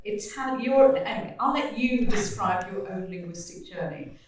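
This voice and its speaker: A woman's voice: medium pitch, animated, normal speed, a little hiss or hum. A woman moderating a panel at a literary event, chatty and full of fillers. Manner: chatty, many fillers, laughs easily. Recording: echoey hall, far from mic.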